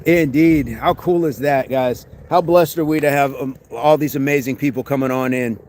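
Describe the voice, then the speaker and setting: A man's voice: medium pitch, normal speed, a little mellow, a little hiss or hum. A man hosting a fitness livestream outdoors, chatting upbeat with viewers and reading their comments from a phone. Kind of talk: casual livestream chat with viewers. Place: outdoors among trees.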